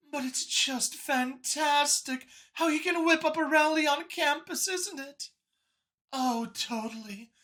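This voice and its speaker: A woman's voice: high-pitched, fairly bright tone. A woman voicing a giddy, admiring female bird-elf alien in an audio story, gushing as she talks. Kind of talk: audio story character dialogue.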